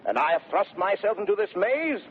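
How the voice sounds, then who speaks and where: An actor playing a blustering, boastful young gentleman adventurer, declaiming in a 1930s radio Shakespeare play on a very tinny, noisy recording. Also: high voice, sing-song, a little fast. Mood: brisk, jaunty. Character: swaggering, impudent young gentleman adventurer and fortune-seeker. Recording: very tinny vintage radio recording, faint noise.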